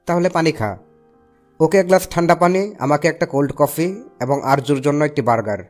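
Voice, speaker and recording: A man voicing a brisk, no-nonsense uncle in a cartoon. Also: on the high side, close to an everyday voice. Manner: brisk, bossy. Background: music.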